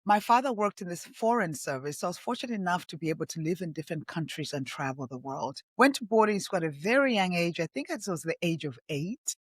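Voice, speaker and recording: A woman's voice: lowish voice, animated, fast, few pauses, breathy edge, dry recording. A middle-aged woman from Tanzania and Zambia, a podcast guest who talks earnestly and from the heart. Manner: earnest, heartfelt, repeats herself for emphasis. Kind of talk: reflective interview talk.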